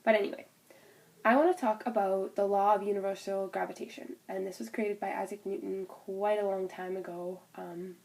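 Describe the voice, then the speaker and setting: Young woman w a cold, stuffed up nose, chatty class recording, mid pitch. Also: fairly even intonation, moderate pace, creaky, a little mellow, rough recording. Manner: casual, explanatory, with fillers and restarts. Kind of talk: informal spoken class presentation.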